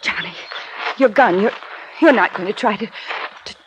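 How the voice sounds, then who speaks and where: A woman's voice: medium pitch, animated, normal speed, background noise, lightly acted. An actress playing an anxious, loyal woman in an old-time radio drama, worried and pleading in her questions. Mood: frightened. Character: anxious, loyal young woman following the investigator.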